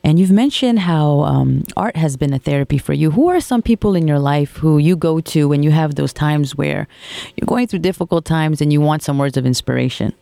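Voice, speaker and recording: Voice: mid-range voice, animated, a little fast, vocal fry, dark tone, no echo. A radio show host interviewing a guest in a casual manner, with frequent fillers. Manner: casual, friendly, many fillers.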